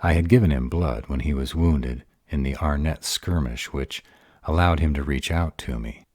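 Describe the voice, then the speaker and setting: A man playing an arrogant, protective vampire lover in a roleplay audio drama, husky and deliberate. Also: a little mellow, no room sound, studio quality. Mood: puzzled, serious. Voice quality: husky, very deep voice. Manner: haughty, deliberate delivery.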